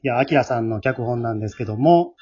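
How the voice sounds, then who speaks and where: A man hosting an amateur radio drama show, giving casual commentary in a polite, halting, chatty way. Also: medium pitch, darkish tone, lo-fi sound.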